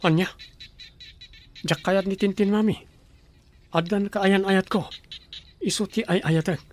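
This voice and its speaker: A man's voice: high voice, fairly even intonation, unhurried, slight background noise. A man playing an earnest young man standing up for the woman he loves in an amateur radio drama. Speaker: son.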